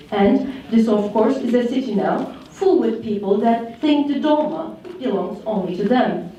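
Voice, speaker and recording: A woman with a Turkish accent delivers a slow, repetitive, poetic speech from a stage. Her voice is mellow and a little high. It comes from well back in a big, echoing hall with a faint hum behind it. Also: dull audio. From Turkey. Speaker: conference speaker. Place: large conference hall. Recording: echoey hall, speaker far from mic.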